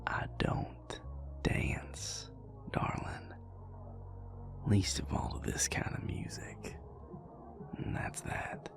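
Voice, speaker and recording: A man playing a dry, affectionate, stubborn vampire boyfriend in an intimate audio roleplay, speaking in a gravelly, breathy murmur with a teasing drawl. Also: extremely slow, long pauses, in a whisper. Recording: loud music behind the voice. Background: club music.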